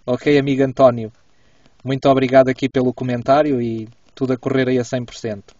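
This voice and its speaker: A man with a European Portuguese accent speaks courteously as an amateur radio operator, often checking back. His intonation stays fairly flat. He is recorded inside a car, a bit away from the microphone, with a dull sound. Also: mid-range voice, fairly quick. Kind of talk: casual ham radio chat. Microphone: camera a little way from him. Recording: dull, roomy, distant camera microphone.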